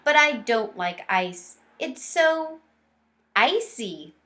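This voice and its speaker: A woman's voice: fairly high pitch, lively intonation, very slow, sharp tone, heavily acted. A woman voicing a little storybook schoolgirl with an emphatic, shivering delivery. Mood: shivery dislike.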